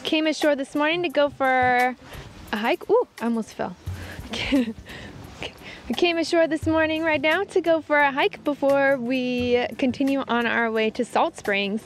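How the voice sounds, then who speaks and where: A woman's voice: high voice, unhurried, slight background noise. A woman in her thirties chatting enthusiastically and giggling as she vlogs outdoors on a wooded island shore, filming on a phone held at arm's length. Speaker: sailing vlogger. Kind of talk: casual vlog chat.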